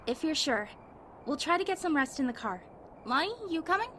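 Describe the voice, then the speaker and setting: High-voiced caring young woman acted, noisy audio. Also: sing-song, fairly quick, pushed and bright, heavily acted. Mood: caring, practical. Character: caring, practical young woman among apocalypse survivors. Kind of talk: amateur audio drama acting.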